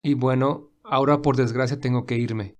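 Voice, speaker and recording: A gentle, devoted young gentleman, played by a male actor. His voice is mid-pitched, calm and tender, suited to a romantic audio storybook. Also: normal speed, lightly acted. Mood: tender, teasing. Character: Albert, gentle devoted wealthy young man. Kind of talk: audio storybook character dialogue.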